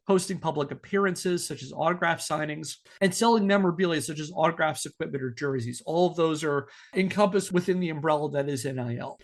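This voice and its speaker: Guy podcast host, voice a bit on the high side, explaining stuff like a prepared lesson. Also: creaky.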